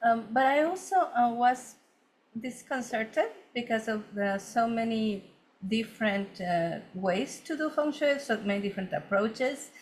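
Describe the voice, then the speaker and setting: A woman's voice: on the high side, expressive, measured pace, slight background noise. A woman from Ecuador, a feng shui consultant, telling her story earnestly in a conversational interview with many fillers and restarts.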